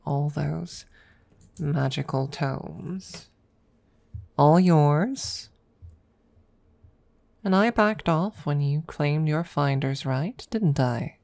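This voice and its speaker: A woman voicing a proud, possessive female dragon who teases a rival in a haughty, deliberate manner, in an intimate ASMR audio roleplay. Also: sits low, gravelly, darkish tone, exaggerated voice. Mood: teasing. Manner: haughty, teasing, deliberate with pauses.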